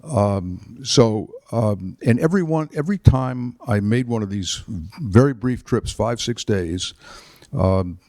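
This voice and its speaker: A man in his seventies, a retired politician and author, tells stories about his life to an audience in a chapel, halting with fillers and restarts. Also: mid-range voice, lively intonation, darkish tone, dry recording, clean studio sound. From United States. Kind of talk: relaxed storytelling talk.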